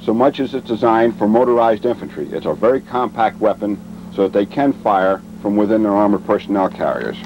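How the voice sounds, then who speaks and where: A man giving a military training lecture as an instructor, matter-of-fact and measured, speaking in short deliberate phrases. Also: fairly low pitch, lo-fi sound. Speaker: weapons instructor and presenter. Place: outdoors at a weapons demonstration. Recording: vintage training film, noisy outdoor sound.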